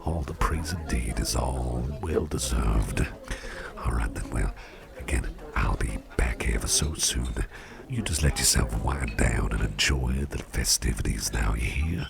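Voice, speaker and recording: A man voicing a gracious, devilish male boss in an ASMR roleplay, praising the listener in soft whispers. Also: extremely low pitch, measured pace, vocal fry, music in the background, heavily acted. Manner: effusive, softly whispered praise.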